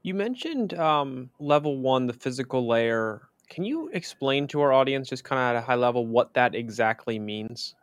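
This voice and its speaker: A man hosting a podcast, upbeat and smooth as he asks his guest questions. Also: mid-range voice, lively intonation, normal speed, few pauses, dry recording. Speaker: podcast host. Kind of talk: podcast hosting and sponsor read.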